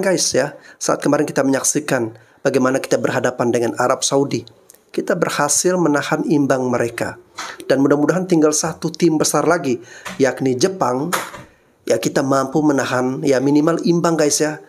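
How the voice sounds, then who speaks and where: Steady man chatting to viewers. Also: medium pitch. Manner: steady, keeps addressing viewers with filler tags. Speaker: football YouTube host. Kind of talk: casual commentary to viewers.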